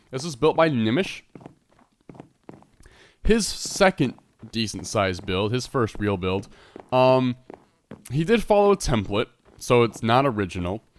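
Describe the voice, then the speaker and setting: Congested, rambling man narrating gameplay. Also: lowish voice. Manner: rambling, self-correcting, chatty. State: congested, stuffed-up nose. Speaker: Minecraft server let's player. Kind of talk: casual gameplay commentary.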